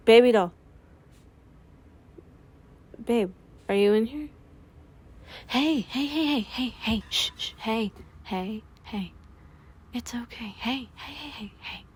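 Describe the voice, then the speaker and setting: A woman voicing a gentle, whispering young man. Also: fairly high pitch, unhurried, a little hiss or hum, voice pushed up, close to an everyday voice. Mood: soothing. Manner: soft, hushed and whispery. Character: gentle young man soothing his frightened partner. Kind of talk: comfort audio roleplay.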